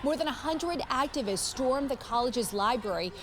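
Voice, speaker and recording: A woman's voice: high voice, expressive, normal speed, noisy background. A woman with an American accent reading scripted news as an anchor.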